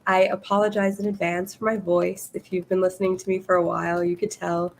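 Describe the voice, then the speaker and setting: A woman hosting a podcast interview, a little hoarse and losing her voice, chatting eagerly with her guest. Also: fairly low pitch, flat delivery, fairly quick, a little hiss or hum. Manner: enthusiastic, reads guest bio aloud. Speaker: podcast host. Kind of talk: casual interview.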